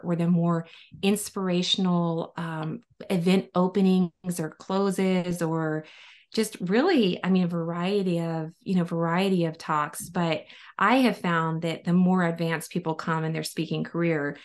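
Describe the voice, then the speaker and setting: A woman guest on a podcast, telling stories in a chatty, lively way with lots of fillers. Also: fairly low pitch, moderate pace. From United States. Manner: chatty, animated storytelling with many fillers. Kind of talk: casual interview chat.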